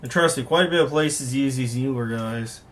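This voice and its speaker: A man with a medium-pitched voice talks casually as a hobbyist vlogger. He rambles conversationally through what he is showing, unscripted, correcting himself along the way. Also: moderate pace, a bit bright. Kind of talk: casual unscripted show-and-tell commentary on online listings.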